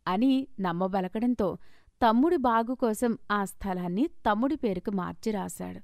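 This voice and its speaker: A woman's voice: medium pitch, normal speed, creaky, a little mellow, no room sound, studio quality. A woman reading a scripted story as its narrator.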